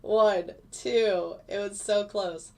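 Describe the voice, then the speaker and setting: A woman with a mid-range voice gives casual live commentary on a trade as it happens. She walks through her settings step by step in a relaxed, explanatory way, like a teacher talking to her students. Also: mid-range voice, extremely slow, gravelly, a little hiss or hum. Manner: casual and explanatory, walking viewers through a chart. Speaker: futures day trader and trading teacher.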